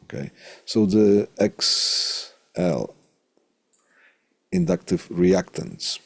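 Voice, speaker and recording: A man teaching as a college instructor in an informal technical lecture, deliberate, often repeating phrases and posing questions to himself. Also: low voice, breathy edge, no room sound.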